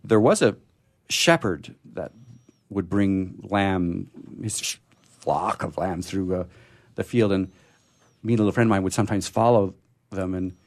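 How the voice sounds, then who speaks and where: A man hosting a poetry program, speaking in a halting, expressive way as he talks casually and reads verse. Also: low voice. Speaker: radio show host reading poetry. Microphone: studio microphone he drifts away from.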